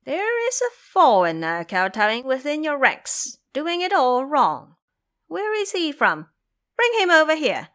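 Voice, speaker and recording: A proud monkey king performed by a woman in an audio drama. He speaks high and swooping, haughty and teasing, as one used to giving orders. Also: huge pitch swings, unhurried, fairly bright tone, heavily acted. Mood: commanding. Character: proud, sharp, mocking male monkey king.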